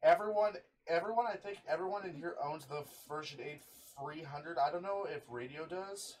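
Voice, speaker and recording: Male host, fairly high voice, informal chatty hobby presenter, faintly noisy recording. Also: moderate pace. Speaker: video host showing a radio collection. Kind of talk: casual hobby showcase with friends.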